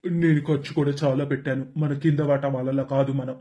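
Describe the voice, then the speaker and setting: A man's voice: medium pitch, flat delivery, brisk, dark tone, lightly acted. A man playing a proud, showy rich husband who is bossy and contemptuous in a moral story. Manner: boastful, bossy. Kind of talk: animated moral story voice acting.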